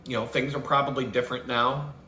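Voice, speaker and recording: A man's voice: medium pitch, little pitch movement, fairly quick, sharp tone. Man ranting bluntly in a roomy space. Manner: blunt, swears heavily, laughs at his own jokes. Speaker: vlogger host. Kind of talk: profane rambling rant. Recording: roomy with faint background noise.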